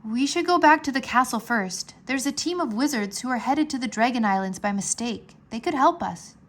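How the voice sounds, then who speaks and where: Brave young dragon master, played by a woman: kind, eager boy, raised bright-hearted storybook voice with urgency. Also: fairly high pitch, animated, moderate pace, voice pushed up. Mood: concerned. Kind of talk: children's storybook character voice.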